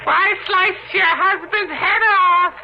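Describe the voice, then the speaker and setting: A man voicing a nagging, wicked old witch in 1950s horror radio style, shrill and creaky. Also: steady tone, slow pace, heavily acted. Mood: mocking, wicked. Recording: muffled old radio broadcast, faint music.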